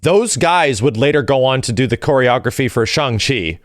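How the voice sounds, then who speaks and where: A man's voice: mid-range voice, animated, normal speed, dry recording, studio quality. A man co-hosting a podcast, chatting casually and enthusiastically with some swearing. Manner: enthusiastic, profane. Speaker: podcast co-host. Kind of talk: casual chat about fighting game films.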